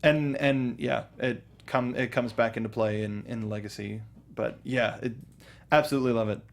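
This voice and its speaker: Man, mid-pitched voice, casual commentary, enthusiastic fan, talk show co-host. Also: steady tone, normal speed, creaky. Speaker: co-host of a film review show.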